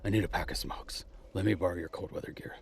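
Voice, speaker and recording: Gravelly, sarcastic veteran cop. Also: male voice, low voice, steady tone, fast, exaggerated voice. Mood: weary, terse. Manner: dry, sarcastic, tough. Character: gruff, defiant veteran male police detective nearing retirement. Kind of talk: audio drama acting.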